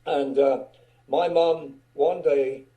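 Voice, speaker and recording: Older male guest, loud, rambling anecdotes, deep dark timbre, echoey room. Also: steady tone, takes it slowly. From England. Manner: loud-voiced, rambling, many "you know" asides. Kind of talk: casual storytelling interview. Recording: roomy echo.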